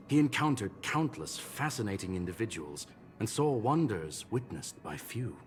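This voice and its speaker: An actor voicing a learned, formal gentleman robot in a video game, with courteous, measured phrasing. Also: on the low side. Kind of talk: scripted video game dialogue. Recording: game music behind.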